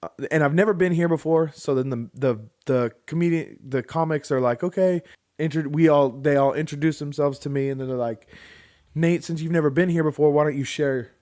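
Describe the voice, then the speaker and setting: A man's voice: on the high side, brisk. A man in his late thirties co-hosting a podcast, telling a long story in casual, rambling chat and acting out what other people said. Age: 30s. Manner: rambling, animated, acts out quoted people. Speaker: podcast co-host.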